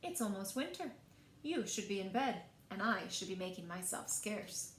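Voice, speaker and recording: A woman voicing a bossy but caring male turkey in a children's storybook, scolding and expressive. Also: medium pitch, normal speed. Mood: surprised, scolding. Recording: echoey room, faint background noise.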